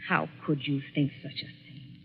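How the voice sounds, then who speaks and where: A woman's voice: sits low, flat delivery, a little slow, vocal fry, slightly rough, heavily acted. A coolly vengeful young woman, bitter at being jilted. Her delivery is controlled and pointed, in the manner of 1940s radio acting, on a dull, muffled vintage recording. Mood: icily vengeful.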